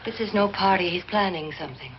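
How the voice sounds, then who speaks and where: An actress playing the cool, anxious wife of a jealous millionaire in a 1950s horror film, poised and commanding. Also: female voice, fairly low pitch, quick pace, background noise, natural voice. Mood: fearful, grave. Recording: dull vintage film soundtrack with music.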